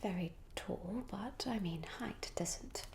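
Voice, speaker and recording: A woman voicing a genteel, gossipy Regency lady in a soft ASMR roleplay whisper, musing politely and hesitantly. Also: medium pitch, slow, creaky, a little hiss or hum. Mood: polite, pondering. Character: genteel, gossipy young Regency lady planning a matchmaking guest list.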